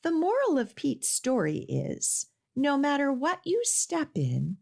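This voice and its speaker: A woman reads to young children as a storytime librarian. Her delivery is extremely unhurried and deliberate, with lively swings in pitch. She sounds singsong and instructional, guiding little listeners along. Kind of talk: slow read-aloud storytime for young children, with sung refrains and action rhymes.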